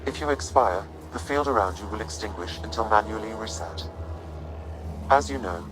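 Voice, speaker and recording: Man as a calm, low-voiced AI assistant. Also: over music, close to an everyday voice, poor audio. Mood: calm, clinical. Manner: measured, formal, unemotional. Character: calm, precise ship artificial intelligence advising its human partner. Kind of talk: video game acting.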